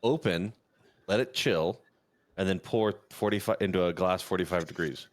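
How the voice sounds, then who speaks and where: A man's voice: sits low, normal speed. A man co-hosting a podcast with joking, teasing, exclamatory banter. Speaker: podcast co-host.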